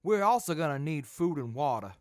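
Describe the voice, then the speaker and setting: A man playing a decisive wasteland traveler in an audio drama, brisk and businesslike as he buys supplies. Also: on the high side, big pitch swings, a little mellow, no room sound, studio quality. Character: decisive male wasteland traveler, the group's buyer. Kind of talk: post-apocalyptic audio drama acting.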